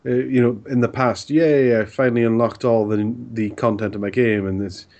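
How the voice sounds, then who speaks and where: A man's voice: mid-range voice, fairly even intonation, moderate pace, darkish tone, muffled recording. A man co-hosts a casual gaming podcast. He jokes dryly and swears freely in the middle of the banter. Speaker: podcast co-host.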